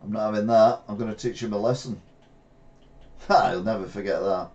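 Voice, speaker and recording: A middle-aged English man streaming a retro racing game, reacting excitably and trash-talking rivals from a gaming chair at home. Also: fairly low pitch, moderate pace, highs cut off. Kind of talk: live gameplay commentary. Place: small room at home, seated in gaming chair.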